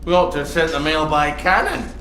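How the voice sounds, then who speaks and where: An actor playing a gruff, sweary, battered ex-soldier in a video game, exasperated and theatrical. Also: male voice, on the high side, animated, fairly quick, background noise, natural voice. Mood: exasperated bluster. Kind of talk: video game cutscene acting.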